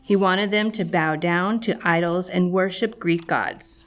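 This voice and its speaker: A woman's voice: on the low side, steady tone, unhurried, fairly bright tone. A woman reading a scripted storybook narration for children. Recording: music playing behind the voice. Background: music.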